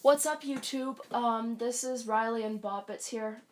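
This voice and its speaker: A woman's voice: a little high, measured pace. Congested woman rambling on a stream. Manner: rambling with many ums and restarts. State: congested from a cold. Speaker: live streamer. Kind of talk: casual live stream talk.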